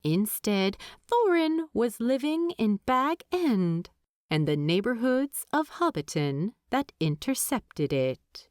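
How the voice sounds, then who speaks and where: A woman's voice: a little high, very animated, slow pace, darkish tone, dry recording, studio quality. A woman reading a story aloud as a scripted audiobook narration.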